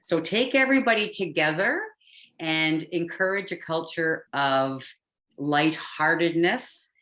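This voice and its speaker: Low-voiced woman on a video call. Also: a little slow, fairly bright tone. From Canada. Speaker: club member. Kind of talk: answering in a virtual meeting.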